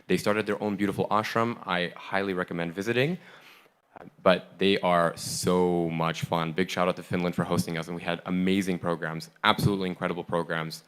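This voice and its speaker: A man's voice: low voice, a bit bright, clean tone. A man telling an audience about a tour, in a chatty, rambling and enthusiastic way, speaking on stage into a handheld mic. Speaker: tour member giving a report on stage. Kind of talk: casual on-stage talk to a live audience. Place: large hall at a festival, on stage.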